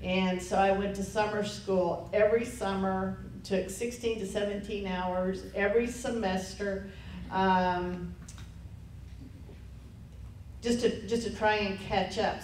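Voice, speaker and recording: A woman's voice: medium pitch, steady tone, takes it slowly, darkish tone. A woman in her sixties from Texas, telling anecdotal stories at a lunch lecture and laughing at her own tales. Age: 60s. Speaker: lecture panelist. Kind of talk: storytelling talk at a lunch lecture. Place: lecture room. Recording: echoey room, distant mic, faint noise.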